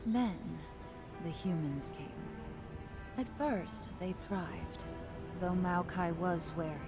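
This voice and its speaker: Solemn low-voiced fantasy storyteller. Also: female voice, lively intonation. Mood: dramatic, somber. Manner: measured, dramatic, solemn. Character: grave, wise mystical woman storyteller from a fantasy world. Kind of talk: scripted video game acting and narration. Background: loud music.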